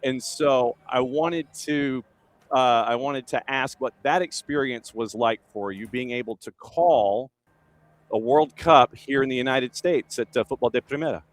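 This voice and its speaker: A man's voice: mid-range voice, measured pace. A man hosting a soccer morning show, chatting casually with a guest and joking at his own expense. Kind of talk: casual interview.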